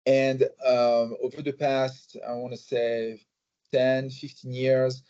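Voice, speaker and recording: Guy, warm low-key tone, talks with lots of uhs and restarts, a bit muffled. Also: level tone, clean tone. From France. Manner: measured, many fillers and restarts. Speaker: think tank president, guest. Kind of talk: interview answers. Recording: slightly muffled recording.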